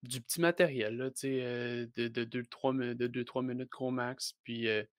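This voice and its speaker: A man's voice: mid-range voice, fairly even intonation, normal speed, dry recording, clean studio sound. A young man with a Québécois French accent, speaking as a wrestler guest in a casual podcast interview, hesitant with many restarts. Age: young adult.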